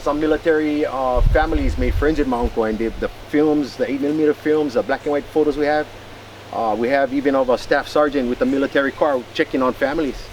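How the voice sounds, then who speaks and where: A man's voice: medium pitch, fast talker, darkish tone. Middle-aged man, noisy outdoors. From Hawaii. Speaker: local hunter and guide. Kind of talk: casual storytelling.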